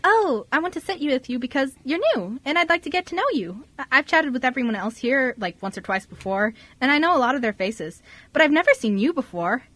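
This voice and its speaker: Woman playing a high-voiced, bubbly teenage girl. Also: expressive, fairly quick, a bit bright, no echo. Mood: eager, friendly. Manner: chatty, eager, giggly. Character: bubbly, friendly fifteen-year-old girl working in family cafe. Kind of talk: amateur radio drama dialogue.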